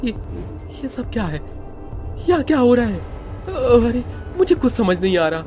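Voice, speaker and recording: Narrator putting on a jittery young man, pitched high, loud and scoffing; music bed. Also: sing-song, a little slow, full character voice. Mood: panicked. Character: bickering young men stranded after a car crash. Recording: background music under voice.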